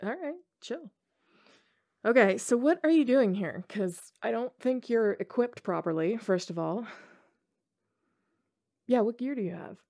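Woman acting as young tomboy gamer girl, playful and teasing, slangy, casual ASMR roleplay, creaky fry in the voice. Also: on the high side, lively intonation, measured pace, pauses often, warm tone. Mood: playful, relaxed. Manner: casual, slangy, teasing, frequent chuckles and hesitations.

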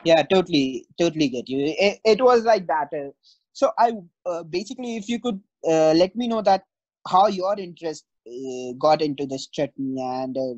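A young man asking interview questions over a video call, halting with fillers. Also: fairly high pitch, a little mellow, no room sound. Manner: halting, many fillers and restarts. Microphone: video call webcam. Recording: dull dry video call audio.

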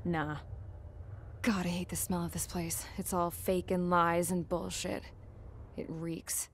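An actress playing a jaded young mechanic in a penal unit, narrating in a sardonic, world-weary voice. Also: female voice, lowish voice, flat delivery, takes it slowly. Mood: quiet disgust. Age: young adult. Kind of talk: scripted dramatic monologue narration. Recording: dramatic music loud behind.

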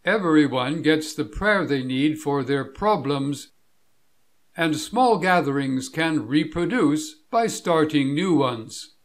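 Man, somewhat high pitch, very slow pace, deliberate scripted narration, measured delivery. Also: animated.

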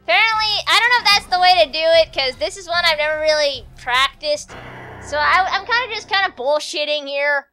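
Woman, high bright voice, a bit congested, rambling casual let's play chatter, faint game music behind. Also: a little fast. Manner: rambling, self-chatting, giggly. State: congested from allergies. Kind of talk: casual gameplay commentary.

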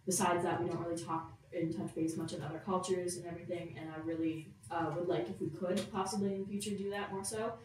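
Low-voiced Canadian teen girl, very echoey. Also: fairly even intonation, fast talker, few pauses. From Nova Scotia, Canada. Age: teens. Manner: rambling, frequent "like". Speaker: podcast co-host. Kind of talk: casual discussion. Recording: very echoey room, distant mic, faint noise.

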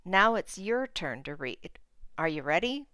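Lady teacher reading a kids book out loud, smooth clean voice, dry close sound, simple careful phrases. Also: fairly low pitch, sing-song, unhurried, no echo. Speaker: read-aloud teacher. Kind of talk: storybook read-aloud for learners.

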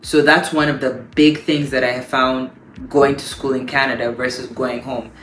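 A woman in her thirties from Uganda, a pastor giving lively, emphatic advice on a couch, repeating phrases for stress. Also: very deep voice, normal speed. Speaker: pastor and guest. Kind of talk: casual advice talk. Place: living room, on a couch. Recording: roomy echo, faint music.

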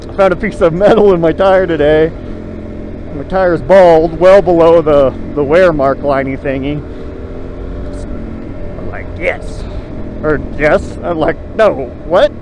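A man's voice: on the high side, unhurried, a little mellow, low-quality recording. A young man on a motorcycle, joking and swearing as he talks through a ride on a mountain road, recorded by a helmet camera. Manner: profane, joking, rambling. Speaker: motovlogger. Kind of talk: casual helmet-cam commentary while riding. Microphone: helmet camera mic. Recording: wind and engine noise behind.

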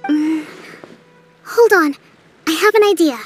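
A composed young female commander from a fantasy game. Her voice is very high and gentle, explaining things in measured, learned phrases over music. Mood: calm, explanatory. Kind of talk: video game voice acting.

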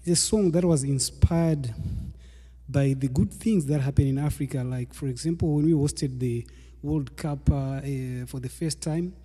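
Calm guy introducing his set, dark mellow husky voice, stand mic in a small room. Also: mid-range voice, moderate pace, in a large hall. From Zimbabwe. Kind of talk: spoken introduction to a live performance. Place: small indoor studio room with patterned wall hanging. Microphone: microphone on a stand in front of him.

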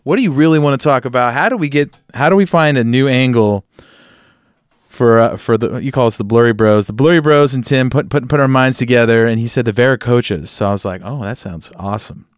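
A man's voice: medium pitch, fairly quick, smooth, no echo. Casual male podcast host, dry sound. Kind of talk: casual chat.

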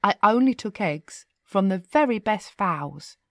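A woman narrating rhyming children's verse in an expressive, playful, deliberate storytelling manner. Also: mid-range voice, dry recording, clean studio sound.

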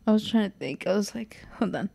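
Young woman, medium pitch, rambling casual podcast talk, lots of filler, hotel room, sitting off-mic. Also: animated, fast, vocal fry, breathy edge. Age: young adult. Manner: rambling, heavy "like" filler, trails off softly. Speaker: podcast co-host. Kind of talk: casual chat. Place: hotel room, seated on bed. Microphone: shared mic, sitting back from it.

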